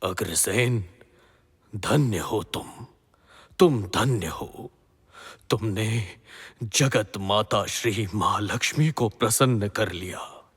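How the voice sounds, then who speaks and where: Man acting deep, slow, husky sage. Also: fairly bright tone, exaggerated voice. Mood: blessing, approving. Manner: solemn, measured, authoritative blessing. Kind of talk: devotional mythological audio drama acting. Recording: long reverberant hall echo.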